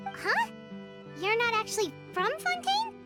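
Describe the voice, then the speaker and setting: Squeaky little fairy sidekick, super high, swoopy and excitable, game dialogue over music. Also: female voice, measured pace, full character voice. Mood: surprised, curious. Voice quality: squeaky, childlike. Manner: exclaiming, questioning. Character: small, excitable, childlike floating fairy companion, curious and easily startled. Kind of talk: video game voice acting. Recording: music playing behind the voice.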